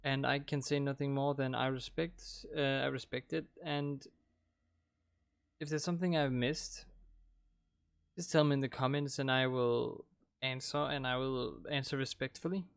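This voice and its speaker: Gravelly young Danish-accented man. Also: mid-range voice, level tone, normal speed, no room sound, clean studio sound. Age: young adult. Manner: rambling, hesitant, with many fillers and restarts. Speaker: gaming channel host. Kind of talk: casual commentary wrapping up a playthrough. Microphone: desk microphone.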